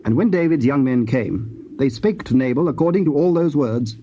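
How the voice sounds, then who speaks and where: A man's voice: mid-range voice, animated, moderate pace, warm tone. A man narrating a dramatized scripture recording in a solemn, scripted storytelling style. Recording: dull old cassette, faint noise.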